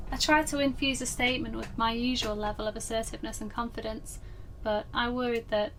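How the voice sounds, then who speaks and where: A woman's voice: fairly high pitch, a bit bright. A woman reading a horror story as a dramatic scripted narration. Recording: distant mic with faint background noise.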